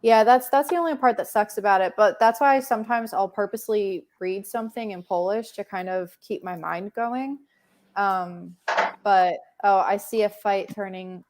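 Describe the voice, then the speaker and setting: A woman's voice: mid-range voice, moderate pace, a little mellow, slight background noise. A young woman hosting a casual livestream chat, rambling with many fillers and laughing often. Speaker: livestream host.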